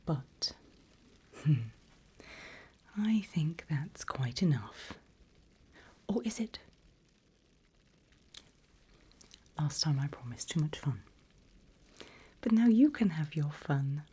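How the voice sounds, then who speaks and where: A woman playing a seasoned demon guide in an ASMR roleplay, teasing, sinister and silkily condescending, in a breathy, drawling whisper. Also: low-pitched, sing-song, mellow, a little hiss or hum, full character voice. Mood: playful, teasing. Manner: slow, drawling, teasing, mock-sweet.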